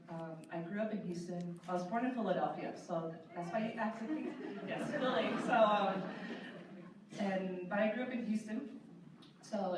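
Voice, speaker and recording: A woman's voice: fairly low pitch, noisy background. A religious sister in her thirties introducing herself on a panel in a casual way, with many fillers. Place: large echoey conference hall. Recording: echoey hall, distant mic.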